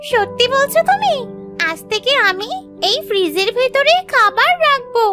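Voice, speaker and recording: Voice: very high-pitched, animated, moderate pace. A performer voicing an eager, determined little village girl in a children's cartoon, with music behind.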